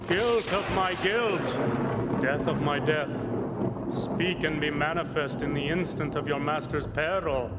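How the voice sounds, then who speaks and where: An undead vampire count chants with slow, seductive menace, drawing out his words in a fairly high male voice. It is radio horror theatre, with loud rumbling noise all around him. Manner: slow, incantatory, drawn-out words. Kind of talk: radio horror drama acting.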